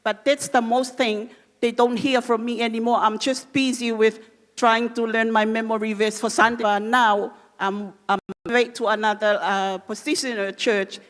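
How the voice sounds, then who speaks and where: A woman's voice: a little high, little pitch movement, moderate pace. A woman gives an earnest church testimony. Her English is simple, since her is Māori. Her voice rings with the long reverb of a church hall. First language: Cook Islands Māori. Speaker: church member giving testimony.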